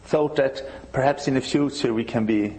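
A Swedish man in his sixties from the north of the country, a church musician being interviewed, speaking halting English and often restarting to search for words. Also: mid-range voice, dark tone, low-quality recording. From northern Sweden.

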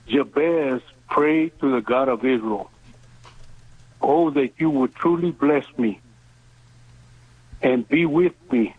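A man in his seventies calling in to a radio show, speaking earnestly in halting, deliberate phrases over the telephone. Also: medium pitch, husky. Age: 70s. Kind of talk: earnest phone-in testimony and prayer request. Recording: narrow tinny phone line.

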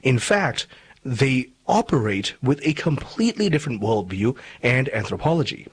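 A man's voice: mid-range voice, very animated, moderate pace, slight background noise. A man from Malaysia hosting a radio interview show, speaking in a formal, articulate and emphatic way, with commentary. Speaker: talk show host.

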